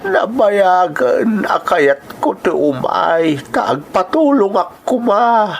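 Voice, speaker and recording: A man with an Ilocano accent acting a frail old uncle in a radio drama, plaintive, wavering and drawn-out. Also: sits high, animated, gravelly, a little hiss or hum, exaggerated voice. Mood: weary, pleading.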